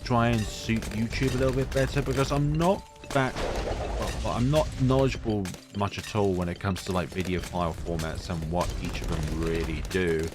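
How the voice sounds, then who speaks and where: Deep-voiced man rambling over game music. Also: fairly even intonation, normal speed. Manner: rambling, chatty, many fillers. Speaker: gaming YouTuber. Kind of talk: casual gameplay commentary. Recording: music playing behind voice.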